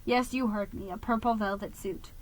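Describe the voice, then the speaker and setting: A woman giving an expressive, dramatic storybook reading, sometimes stumbling and rereading a phrase. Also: medium pitch, normal speed. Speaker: amateur reader of a children's book.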